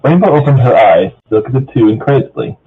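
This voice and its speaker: A man's voice: mid-range voice, animated, quick pace, slightly rough. A man narrating a fan fiction story aloud, casually breaking off to banter with his co-reader. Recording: thin phone-like voice chat sound.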